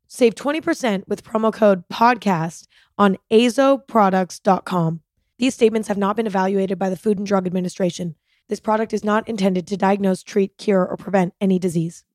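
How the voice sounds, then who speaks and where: A woman's voice: medium pitch, dry recording, studio quality. Woman reading a scripted ad. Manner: even, promotional read. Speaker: ad reader. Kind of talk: scripted ad read.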